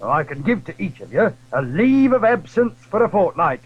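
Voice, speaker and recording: A man plays a blustering soldier of old France in a period audio drama. The voice is high and husky, leaping about in pitch. The recording is dull and lo-fi. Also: big pitch swings, normal speed, full character voice. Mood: brisk, commanding. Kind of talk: audio drama acting of a classic adventure novel.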